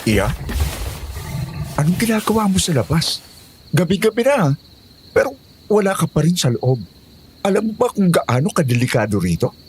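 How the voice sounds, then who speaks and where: A man's voice: on the high side, very animated, moderate pace, long pauses, a little mellow, slight background noise. A man playing a stern, uncanny old father-in-law in an audio horror drama. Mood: stern, eerie. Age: older. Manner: stern, admonishing.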